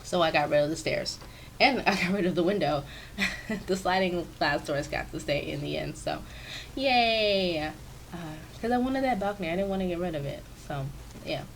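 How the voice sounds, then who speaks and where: A woman with a raspy, stuffy voice, chatting casually and rambling with many fillers, recorded on a sensitive microphone in her home office. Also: lowish voice, expressive, normal speed, a little hiss or hum. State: stuffy from sinus trouble. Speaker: video creator. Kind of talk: casual commentary over a speed-build. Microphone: new sensitive desk microphone.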